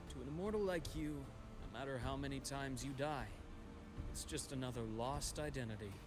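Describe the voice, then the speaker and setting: A man voicing a composed, perceptive doctor in a video game, speaking in a measured, wry and pointed way. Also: medium pitch, music in the background, close to an everyday voice. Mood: calm, accusing.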